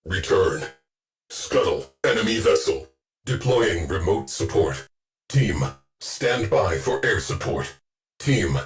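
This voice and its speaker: A man voicing a stern, deadpan artificial intelligence that runs a warship in a video game, giving clipped military orders. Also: on the low side. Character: terse, grim military ship artificial intelligence, male, commanding. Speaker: shipboard tactical officer. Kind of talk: video game mission voice lines.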